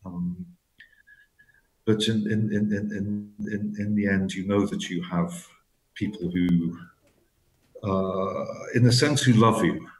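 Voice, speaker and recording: A man with a lowish voice speaks thoughtfully as an interview guest. He stumbles and repeats words while hunting for what he means. The room around him rings with echo. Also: fairly even intonation. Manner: halting, repeats words, searching for phrasing. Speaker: author guest. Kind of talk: reflective interview talk.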